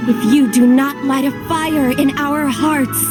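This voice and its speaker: A woman's voice: sits high, fairly even intonation, unhurried, over loud music. A woman playing a mysterious, teasing master of ceremonies in a video game, proclaiming grandly. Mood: solemn, portentous. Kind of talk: video game cutscene narration in character.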